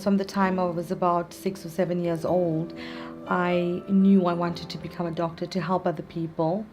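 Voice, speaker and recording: A woman born in South Africa, a psychiatrist answering interview questions in earnest, measured explanations. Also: fairly low pitch, a little mellow. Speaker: psychiatrist guest.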